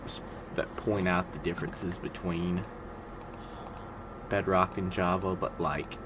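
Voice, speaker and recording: A man in his twenties giving calm, monotone, rambling gameplay commentary, full of fillers. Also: sits low, slow. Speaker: gaming video creator. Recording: loud background noise.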